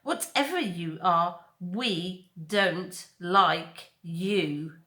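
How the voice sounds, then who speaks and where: A woman's voice: fairly low pitch, drawn-out words, sharp tone, full character voice. A woman voicing a surly, hostile young male bear who growls threats in a storybook reading.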